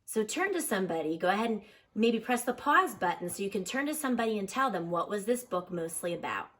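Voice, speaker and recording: A woman teacher reading aloud to children and explaining as she goes, with expressive, instructional delivery. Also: medium pitch, quick pace. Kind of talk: read-aloud lesson with explanations and questions to children.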